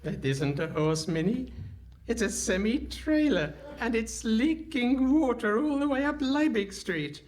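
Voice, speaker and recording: A woman's voice: on the high side, animated, a little slow, a little mellow. A woman playing a befuddled, doddering old husband in a comic live radio play, fussy and literal-minded. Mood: fussy, puzzled. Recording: noisy live hall stage recording.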